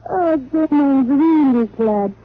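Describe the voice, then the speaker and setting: Slow, sultry radio sweetheart. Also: female voice, high voice. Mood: warm, flirtatious. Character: sultry, affectionate cafe singer sweetheart. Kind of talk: 1940s radio acting. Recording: tinny, noisy old radio recording.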